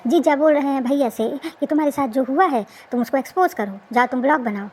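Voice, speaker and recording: A woman's voice: sits high, quick pace, darkish tone. A woman giving casual, colloquial gossip commentary in a teasing, exclamatory way with lots of rhetorical questions. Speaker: reaction video host. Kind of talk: casual gossip commentary on a social media dispute.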